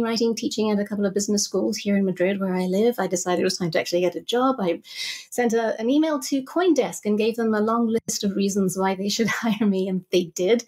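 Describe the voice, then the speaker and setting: A woman's voice: medium pitch, darkish tone. A middle-aged woman from Zambia talking conversationally as a podcast guest, explaining things like an analyst.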